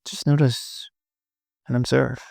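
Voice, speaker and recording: A man leading a guided meditation in short, gently instructive phrases with long pauses between them. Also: medium pitch, little pitch movement, gravelly, no echo, studio quality.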